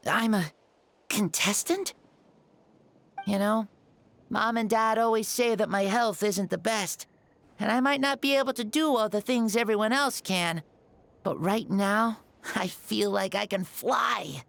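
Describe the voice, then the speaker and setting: A woman voicing a shy, frail young boy in a video game, who speaks softly and haltingly. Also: mid-range voice, vocal fry. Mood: wondering. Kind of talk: game voice acting.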